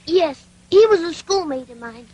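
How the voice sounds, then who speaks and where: A woman's voice: very high-pitched, animated, moderate pace, warm tone. A woman playing an earnest, tender-hearted little boy puppet in an English cartoon dub, with childlike, emotive delivery. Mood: plain, sincere. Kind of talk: classic cartoon dub acting.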